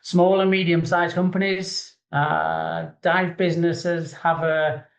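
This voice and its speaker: Middle-aged uk guy, laid back, kinda higher voice, echoey dull audio. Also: slow, creaky. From United Kingdom. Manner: relaxed, hedging with 'kind of'. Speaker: guest. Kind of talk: casual interview. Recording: echoey and muffled.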